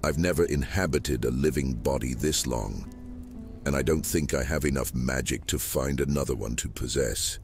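Very deep, gravelly djinn. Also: male voice, normal speed, soft background music. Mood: troubled. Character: secretive ancient djinn possessing a vampire's body, burdened. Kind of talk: immersive fantasy roleplay audiobook acting.

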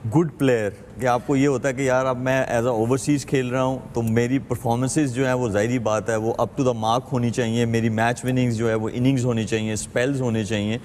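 A man's voice: medium pitch, flat delivery, a little fast, hardly any pauses, darkish tone. Reverberant Pakistani man analysing cricket. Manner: mixes Urdu and English. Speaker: cricket panelist. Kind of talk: studio analysis. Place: indoor TV studio. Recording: long reverb, faint noise.

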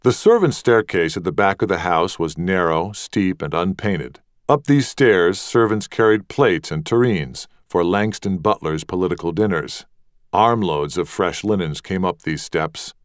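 A man narrating a literary audiobook with measured, deliberate phrasing. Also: low-pitched, no echo, clean studio sound.

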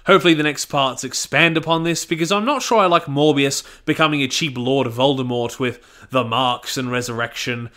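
A man's voice: a little high, sharp tone. A man delivering a scripted review narration in a blunt, sweary, opinionated manner. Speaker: reviewer, channel host.

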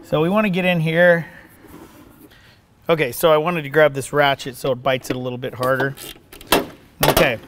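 A man's voice: a little high, flat delivery, moderate pace, pauses often, a bit bright. A middle-aged man giving a casual welding demonstration in a workshop, folksy and conversational, often checking in with "okay?". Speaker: welding instructor. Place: large indoor welding workshop.

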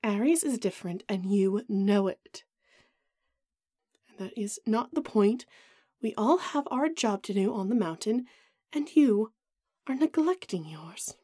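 A woman's voice: mid-range voice, unhurried, dark tone, no echo, clean studio sound, lightly acted. A woman playing a vain, haughty goddess in an audio roleplay drama, melodramatic and put-upon with a whiny edge. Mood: complaining.

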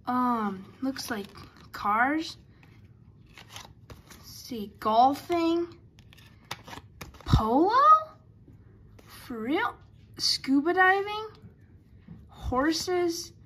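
High-pitched chatty woman opening cards. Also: animated, very slow, pauses often, fairly bright tone, a little hiss or hum. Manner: chatty, stumbles over player names. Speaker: card collector. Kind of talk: casual card-opening commentary. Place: indoors at a table.

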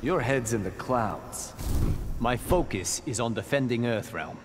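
An actor playing a steadfast, dutiful young warrior monk in a video game, earnest and firm. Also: male voice, mid-range voice, lively intonation, unhurried, close to an everyday voice. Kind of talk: video game fight dialogue. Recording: reverberant hall, loud game noise.

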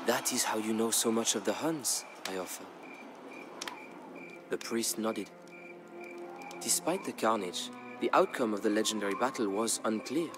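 A man with a fairly low voice narrates a historical saga. His delivery is solemn and measured, like scripted storytelling for a game campaign, with music clearly playing behind him. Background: background music.

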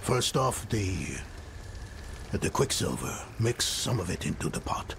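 A man playing a weary, doubt-ridden scholar-warrior in a dark fantasy game, grave and halting. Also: on the low side, big pitch swings, vocal fry, noisy background, exaggerated voice. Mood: distracted, uncertain. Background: game music.

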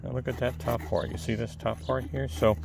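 A man with a soft, calm voice chatting casually to viewers while cleaning something outdoors on a lawn, filmed on a close-held camera. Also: medium pitch, a little fast, creaky, noisy background. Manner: chatty, rambling asides to the viewer. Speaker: video creator. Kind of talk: casual how-to talk while working. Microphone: camera held close, point of view.

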